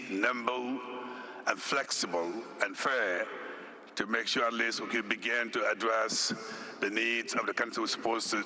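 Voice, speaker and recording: A man from Senegal, an executive director, giving a formal conference speech in a deliberate, measured way, listing his points one by one. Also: medium pitch, background noise. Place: conference hall.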